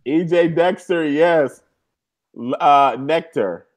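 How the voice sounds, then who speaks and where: A man's voice: fairly high pitch, slow pace. A man co-hosting a casual live recap show over a video call, emotional and playful. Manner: emotional, playful, sings along.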